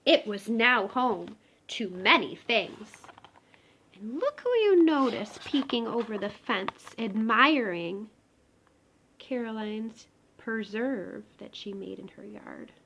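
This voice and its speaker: A woman's voice: on the high side, sing-song, slow, a bit bright, slight background noise. A woman reading a children's picture book aloud expressively, talking directly to young listeners. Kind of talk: expressive children's storybook read-aloud with asides.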